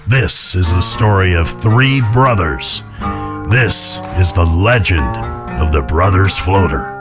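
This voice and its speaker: A man's voice: low-pitched, slow pace, music in the background. A man playing a folksy, wry Old West narrator telling an outlaw legend in a western audio drama. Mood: solemn, grand.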